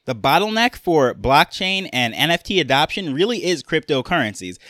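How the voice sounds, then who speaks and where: A man's voice: a little high, sing-song, normal speed, sharp tone, no echo, studio quality. A young man with a New York accent hosting a casual solo podcast, rambling along with fillers and restarts. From Jamaica, raised in New York. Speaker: podcast host.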